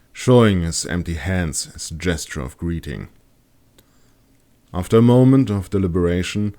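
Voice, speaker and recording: A man's voice: low voice, a little mellow. A man reading his own fantasy audiobook as a scripted narration.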